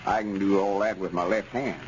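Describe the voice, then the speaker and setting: Boastful rural Southern storekeeper, old radio. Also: male voice, sits low, steady tone, a little slow. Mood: self-satisfied. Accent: Southern US, rural Arkansas. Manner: folksy, pompous, self-satisfied. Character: boastful, self-important rural storekeeper with lawyer ambitions. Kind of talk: 1930s radio comedy acting. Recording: scratchy, muffled 1930s radio recording.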